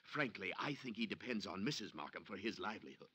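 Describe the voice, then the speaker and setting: A man's voice: fairly low pitch, lively intonation, quick pace, sharp tone, husky, dry recording, heavily acted. An actor playing a nervous, eager-to-please male insurance broker in a 1950s radio drama, speaking hesitantly and deferentially.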